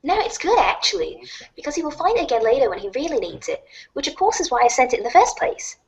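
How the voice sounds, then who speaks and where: A bubbly teenage girl acted by a woman speaks in a high, swooping voice. She is quirky and teasing, and leans on her words in a playful audio-drama style. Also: big pitch swings, fast, low-quality recording. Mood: earnest, upbeat. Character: cheerful, quirky teenage girl chatting with a friend. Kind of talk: fan audio drama of a webcomic.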